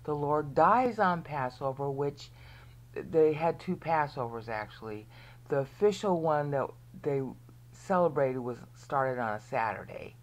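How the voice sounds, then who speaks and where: A woman's voice: deep voice, expressive, unhurried. A woman with an American accent giving a rambling Bible lesson over a screen share. She often checks in with "okay" and sometimes sinks to a near whisper. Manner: drops to a near whisper at times. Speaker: Bible teacher.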